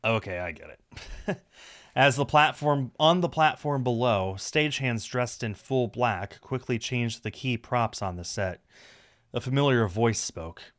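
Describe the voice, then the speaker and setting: A man's voice: medium pitch, expressive, unhurried, a bit bright. A man reading a visual novel aloud on a stream, narrating the story with casual asides.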